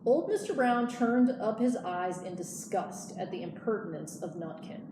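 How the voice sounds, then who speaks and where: A woman's voice: medium pitch, animated, moderate pace. Woman reading a storybook, echoey room. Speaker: teen librarian, storyteller. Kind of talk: storybook read-aloud for children. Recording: echoey room, clear background noise.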